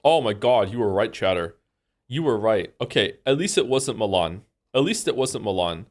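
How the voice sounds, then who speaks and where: A man from Wales livestreaming, thinking aloud in a rambling, excitable, incredulous way as he chats casually with viewers during a game. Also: fairly low pitch, normal speed. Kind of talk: casual chat with stream viewers while playing a guessing game.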